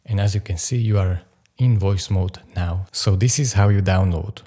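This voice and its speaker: A man hosting a software tutorial, narrating instructions step by step. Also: low-pitched, normal speed. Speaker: tutorial host.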